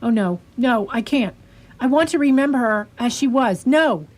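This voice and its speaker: A woman's voice: fairly high pitch, expressive, moderate pace, a little mellow. A woman in a radio drama playing an anguished, skeptical male asylum doctor, with a dramatic, distraught delivery. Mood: dread, grief.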